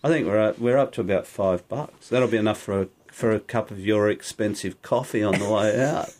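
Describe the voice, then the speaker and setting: Aussie male podcast co-host, low voice, loose unscripted chat with restarts. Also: fairly quick, darkish tone. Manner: rambling, self-correcting, laughs often. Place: small recording studio. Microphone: studio microphone.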